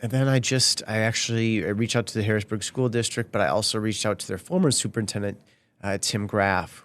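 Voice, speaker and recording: Hesitant reporter, low-voiced. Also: male voice, a bit bright, no echo. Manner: hesitant, many fillers and restarts. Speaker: news reporter. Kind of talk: unscripted studio conversation.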